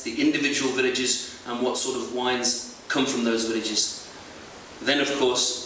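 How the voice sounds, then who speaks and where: A man's voice: mid-range voice, fairly bright tone. Echoey, distant man explaining with hesitations. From not French. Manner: hesitant, frequent uh fillers, explanatory. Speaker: export sales manager. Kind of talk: educational talk to retail staff. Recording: very echoey, far from mic, faint hiss.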